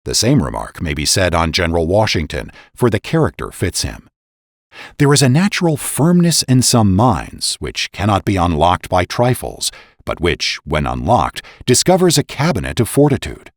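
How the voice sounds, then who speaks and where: A man with a fairly low voice reads a historical pamphlet as formal oratory. His intonation swings very widely as he drives each point home with rhetorical emphasis. The sound is dry and close, with little room in it. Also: huge pitch swings, moderate pace, dry recording. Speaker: narrator reading a historical pamphlet. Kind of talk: dramatic reading, formal oratory.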